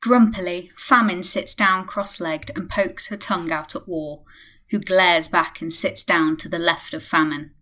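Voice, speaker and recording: A woman's voice: fairly low pitch, steady tone, a little slow, sharp tone. A woman reading scripted narration for a radio play.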